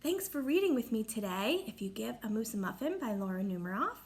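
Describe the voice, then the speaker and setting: A woman reading a picture book aloud like a teacher, speaking deliberately to young listeners and explaining as she goes. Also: fairly high pitch, very animated, husky. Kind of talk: read-aloud storytelling with teaching asides.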